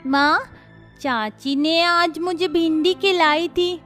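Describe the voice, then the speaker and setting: A woman's voice: extremely high pitch, fairly even intonation, takes it slowly, fairly bright tone, faint music behind, exaggerated voice. A woman voicing an innocent, stubborn little girl in a cartoon, childlike and pleading. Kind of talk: Hindi cartoon voice acting.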